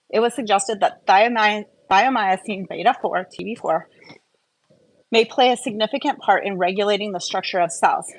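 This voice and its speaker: A woman's voice: medium pitch, poor audio. Woman, mid-range voice, livestream host, casual explainer, enthusiastic, emphatic, chatty asides. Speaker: host of a live health stream.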